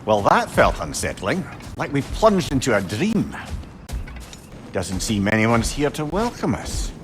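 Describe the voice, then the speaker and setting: An actor playing a witty, talkative older advisor in a video game, with a wry, sardonic delivery. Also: male voice, medium pitch, wildly swooping pitch, a little slow. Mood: wry, uneasy. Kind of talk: cinematic video game acting. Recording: music behind the voice.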